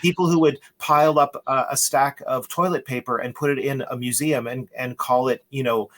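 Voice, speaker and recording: A man's voice: mid-range voice, fairly even intonation, normal speed, fairly bright tone. A man as an interview guest, explaining in an emphatic, lecturing way with rhetorical checks. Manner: emphatic, lecturing, asks "right?". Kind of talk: conversational interview.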